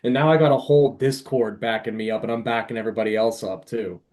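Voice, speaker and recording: A man's voice: mid-range voice, steady tone, fast talker, a little mellow. A man talking as a podcast guest in casual, slangy, enthusiastic conversation. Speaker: podcast guest, indie author.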